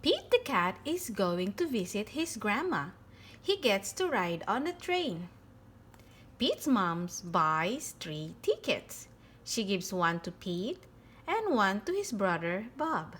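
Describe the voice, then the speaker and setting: A woman reading a children's picture book aloud in an expressive, animated storytelling manner. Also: mid-range voice, slow pace, slight background noise. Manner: expressive, with animated character lines.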